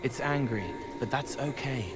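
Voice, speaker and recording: An actor playing a gentle, odd young male spirit in a video game, soft-spoken, talking in disjointed, cryptic phrases. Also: medium pitch, normal speed, over loud music, natural voice. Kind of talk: video game character acting.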